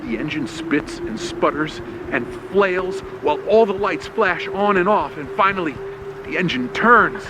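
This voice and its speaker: Loud rain, storm and engine effects surround a man telling a first-person horror tale. He reads slowly in tense, clipped phrases, with very animated pitch and the dramatic style of an old radio play. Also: noisy background. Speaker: horror story narrator. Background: rain, thunder and vehicle sound effects.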